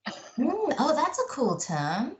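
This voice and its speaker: A woman's voice: sits high, wildly swooping pitch, slow pace. A woman from Malaysia with a British accent, talking reflectively in a conversational interview and often checking with "right?". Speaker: podcast guest, mentor and coach. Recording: roomy, echoey sound.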